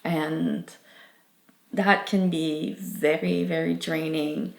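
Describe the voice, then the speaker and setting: Gravelly French-accented woman, echoey room. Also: low-pitched, fairly even intonation, drawn-out words. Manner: earnest, thoughtful, with many fillers and restarts. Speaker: interviewee. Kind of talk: candid interview answers.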